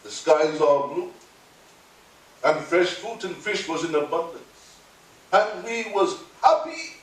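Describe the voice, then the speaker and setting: A man voices an older man spinning memories of 1950s London in a lively, swooping voice, breaking into laughter between lines. The sound is very roomy and set back from the mic. Faint music sits behind. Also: a little high, slow, recorded from a distance. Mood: wistful. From Barbados. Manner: storytelling, animated, laughing asides. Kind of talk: theatrical monologue.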